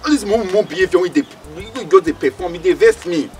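Male actor as hot-tempered Nigerian friend, quick pace, swinging pitch, scolding, streetwise, threatening drama delivery. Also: lively intonation, darkish tone. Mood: angry, scolding. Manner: blustering, scolding. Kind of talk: comedy drama acting in Nigerian Pidgin.

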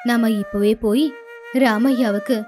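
A woman voicing a gentle, gracious goddess in a storybook cartoon, speaking in a soft, deferential way. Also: a little high, steady tone, a little slow, voice pushed down, close to an everyday voice. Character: gracious divine goddess wife, gentle and curious. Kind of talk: animated storybook voice acting. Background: flute music.

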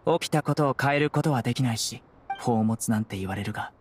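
A man's voice: mid-range voice, quick pace, slight background noise, lightly acted. An actor playing a stern, composed young male investigator in a Japanese game, with a grave, restrained delivery. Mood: firm. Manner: grave, restrained, matter-of-fact. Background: plucked-string background music.

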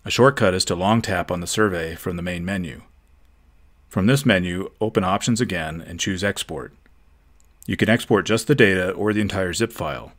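A man giving a steady, methodical, scripted narration for a software tutorial. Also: deep voice, normal speed.

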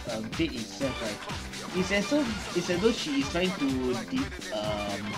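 A young man thinking aloud haltingly in casual reaction commentary for gaming videos. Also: medium pitch. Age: young adult. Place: small bedroom.

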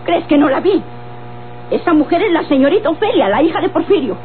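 Nosy sharp-tongued mexican abuela, elderly, high pitched, old radio drama with thin sound. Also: female voice, big pitch swings, brisk, slight background noise. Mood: suspicious, accusing. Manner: accusing, interrogating. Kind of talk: Mexican golden-age radionovela acting. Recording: tinny old radio, faint music.

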